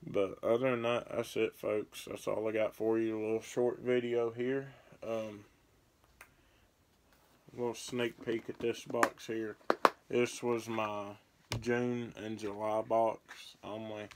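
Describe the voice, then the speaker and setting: Laid-back young man, gravelly drawl. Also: on the low side, flat delivery, slow pace, slight background noise. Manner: rambling, laid-back, listing baits as he handles them. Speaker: fishing vlogger. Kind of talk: casual tackle show-and-tell. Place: small bedroom indoors. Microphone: phone camera at arm's length. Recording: crinkling plastic bait bags behind the voice.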